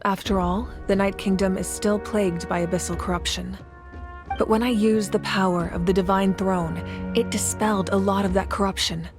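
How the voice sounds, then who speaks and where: A woman's voice: mid-range voice, fairly even intonation, moderate pace, close to an everyday voice. A woman portrays a composed war leader in a video game story. She speaks in a mid-range voice, earnest and determined, explaining matters with assurance. Music plays behind her. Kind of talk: dramatic video game acting.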